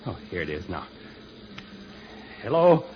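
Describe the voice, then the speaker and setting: An actor playing a brave, worried, resourceful sidekick muttering urgently to himself in an old-time radio serial. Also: male voice, low-pitched, sing-song, measured pace, background noise. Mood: anxious, urgent. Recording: muffled old radio recording.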